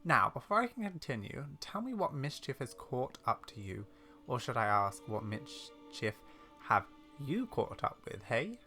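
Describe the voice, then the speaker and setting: A man voicing a kindly, secretive old witch granny in a game, with measured, theatrical, old-fashioned speech. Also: medium pitch, big pitch swings, pushed and bright, soft background music, heavily acted. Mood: brisk, teasing. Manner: measured, mysterious storytelling with fond, old-fashioned turns of phrase. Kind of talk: game dialogue read aloud in character.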